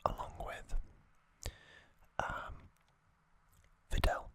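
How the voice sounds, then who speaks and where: An ASMR host giving a relaxed recap in a soft, unvoiced whisper, with casual fillers and small asides. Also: drawn-out words.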